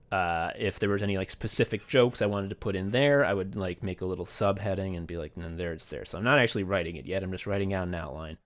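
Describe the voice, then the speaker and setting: Low voiced guy podcasting, sweary and rambly, casual behind the scenes chat, acts out his own asides. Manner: rambling, sweary, animated retelling with quoted asides. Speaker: podcast host and audio drama writer.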